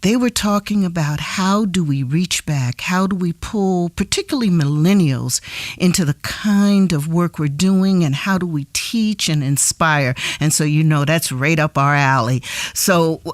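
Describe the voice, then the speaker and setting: A woman hosting an interview show, reading an upbeat introduction and then chatting with her guest with quick, effusive affirmations. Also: deep voice, no room sound, clean studio sound. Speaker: show host.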